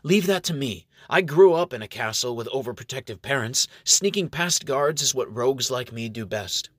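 A man's voice: medium pitch, expressive, a bit bright, no echo, clean studio sound. A man voicing a plucky young princess who has become a sneaky rogue. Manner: quick, lifted voice.

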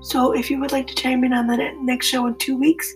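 Apologetic woman podcast host, narrow pitch. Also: level tone, faint music behind. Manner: apologetic, halting with restarts. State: sick, fighting a virus. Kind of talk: casual update to listeners.

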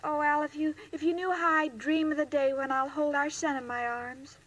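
Scatterbrained ingénue, 1940s radio comedy, very high bright voice, innocent and sincere. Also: female voice, level tone, moderate pace. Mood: wistful. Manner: earnest, guileless. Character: naive, scatterbrained, sweet young sweetheart. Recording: noisy old-time radio recording.

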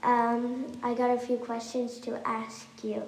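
A woman's voice: fairly high pitch, monotone, a little slow, a little mellow, echoey room, a little hiss or hum, poor audio. A woman interviewer asking questions hesitantly, with many ums.

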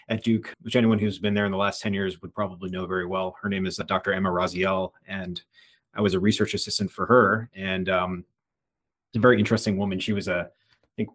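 A man in his thirties from the Philadelphia area, a fund manager talking as a podcast guest in a relaxed, conversational way that often restarts phrases. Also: low-pitched. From United States (Philadelphia area). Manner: conversational, frequent restarts and repeated words. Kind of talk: podcast interview.

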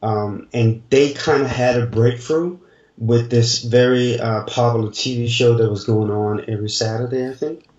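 Guy in his 40s talking slow and halting, sounds far off and echoey, dull audio. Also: medium pitch, steady tone, darkish tone. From Denmark. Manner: slow, halting, searching for words. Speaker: dancer guest. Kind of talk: casual interview answers. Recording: distant, roomy, dull remote audio.

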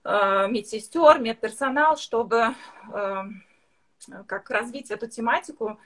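A woman with a somewhat high voice talks through her clinic work at a measured pace. She stops often on drawn-out filler sounds. Her tone stays explanatory and careful. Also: a bit bright. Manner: hesitant, many filler sounds, occasional slips between languages. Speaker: medical permanent makeup specialist. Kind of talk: online live interview.

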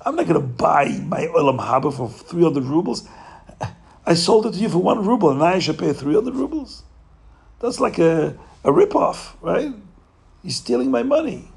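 Innkeeper, jolly and mocking, gravelly, pitched up high; a man voicing him. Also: big pitch swings, normal speed, a little mellow. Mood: mocking laughter. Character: laughing tavern innkeeper, middle-aged man, jolly and scoffing.

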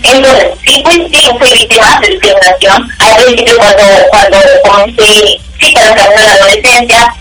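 A woman's voice: mid-range voice, normal speed, few pauses. A woman from Mexico with a Mexican accent, giving her opinions emphatically in a casual discussion as an interview guest. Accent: Mexican Spanish. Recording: roomy, distant phone-like call with music.

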